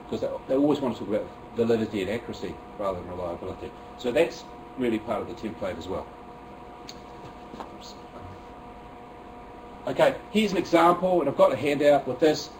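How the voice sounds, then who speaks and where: Kiwi man running a teachers' workshop, quick and chatty, recorded off-mic with room noise. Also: mid-range voice, expressive, distant mic. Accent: New Zealand. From New Zealand. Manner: chatty and self-deprecating, many fillers and restarts. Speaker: teacher presenting to fellow teachers. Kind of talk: workshop presentation. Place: room with an audience.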